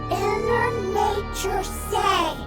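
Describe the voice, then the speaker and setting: An actress voicing a small, earnest creature in a fantasy game, talking in halting, broken phrases. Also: female voice, very high voice, full character voice. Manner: halting, clipped broken grammar. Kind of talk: fantasy video game voice acting. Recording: echoey, loud game music behind.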